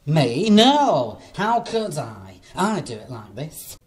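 A female farm animal from a children's storybook, as a man puts her on. She protests her innocence slowly, drawing out her words with lively swings of pitch, yet stays matter-of-fact. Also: background noise. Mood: protesting innocence.

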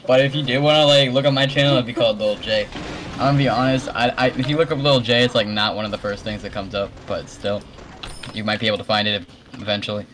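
A man's voice: fairly low pitch, fairly even intonation, fast, a bit bright, a little hiss or hum. Rambling teen boy gaming on stream. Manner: rambling, self-deprecating, laughs often. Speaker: gaming channel host. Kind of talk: casual gameplay chat.

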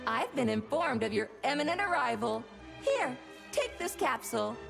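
A woman's voice: high voice, sing-song, normal speed, creaky, music in the background, heavily acted. A woman voicing a cheerful, unflappable robot guide in a video game, formal and courteous as she instructs. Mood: polite, instructional. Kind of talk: scripted video game announcements.

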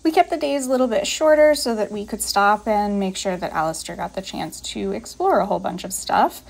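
A woman's voice: mid-range voice, animated, brisk, vocal fry, low-quality recording. A woman in her thirties, a veterinarian, narrates her travel vlog in an easygoing, chatty way, laughing between phrases. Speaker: veterinarian and channel host.

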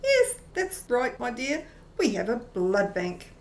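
A woman's voice: on the high side, wildly swooping pitch, slow pace, exaggerated voice. A woman playing a friendly, chatty, motherly vampire countess in an amateur radio play. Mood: proudly explaining. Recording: faint background noise.

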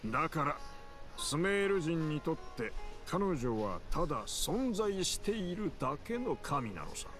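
An actor playing a worldly, nonchalant mercenary in a Japanese video game, casual and rough-spoken as he explains things. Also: a little high, very animated, a little slow, fairly bright tone. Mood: explanatory. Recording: game soundtrack music behind voice.

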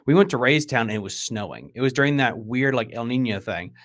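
A man's voice: mid-range voice, animated, a little fast. Emphatic man hosting a podcast. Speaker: podcast host. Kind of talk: casual podcast chat. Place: indoor room at a desk, on a video call. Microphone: close boom-arm podcast microphone.

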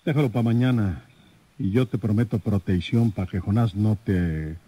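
A husky, dry-recorded man's voice in a radio drama. He is a rustic detective, plain-spoken and assured, firmly persuading whoever he addresses. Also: fairly low pitch, normal speed, dark tone, no echo. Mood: firm, persuasive. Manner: folksy, assured, rustic speech. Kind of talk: old radio drama acting.

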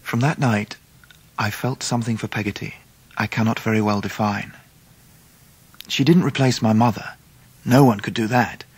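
An actor playing a grown man recalling his childhood, husky and earnest, with an English accent in radio drama narration. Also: lowish voice, fairly even intonation, no echo. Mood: reflective. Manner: reflective, earnest, measured. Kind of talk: dramatised first-person narration.

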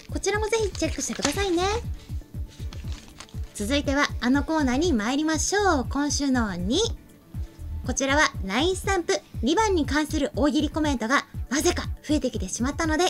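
A young woman with a very high voice co-hosts a studio show. She talks quickly, in the manner of chat and prepared announcements, with soft music in the background. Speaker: show co-host. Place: small studio, indoors. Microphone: desk microphone. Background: faint background music.

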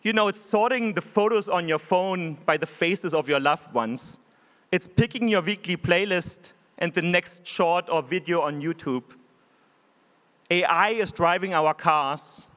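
A man in his forties with a German accent, giving an earnest, measured keynote speech from a conference stage podium microphone. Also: high voice, a bit bright. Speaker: keynote speaker. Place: large conference hall stage. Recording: hall reverb.

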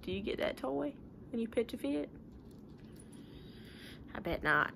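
A woman's voice: a little high, very animated, measured pace, creaky, a bit bright, slight background noise. A young woman, talking informally to pupils and parents in a folksy, plain-spoken way with rhetorical questions. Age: young adult. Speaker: schoolteacher. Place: living room at home.

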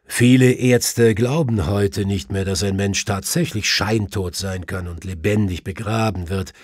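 An earnest, cautious Victorian doctor in an audiobook, played by a male narrator. The voice is husky and recorded very dry. He explains in measured, formal sentences. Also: lowish voice, fairly even intonation, dry recording. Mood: assured, explanatory. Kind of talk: audiobook character voice.

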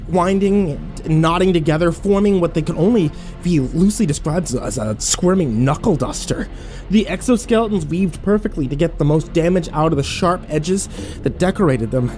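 Male actor as shaken young man, horror audio drama narrator, halting, incredulous, slightly high voice, music underneath. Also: animated, a little mellow, close to an everyday voice. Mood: shaken, bewildered. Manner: halting, self-interrupting, swearing, incredulous. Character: rattled young man narrating his horror ordeal, foul-mouthed, overwhelmed.

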